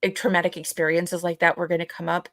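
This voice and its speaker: Young woman podcast co-host, slightly low voice in a dry recording; thoughtful, conversational, circling back over her phrases. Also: level tone, fairly bright tone, no room sound. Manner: halting, repeats phrases while thinking. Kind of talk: reflective casual conversation.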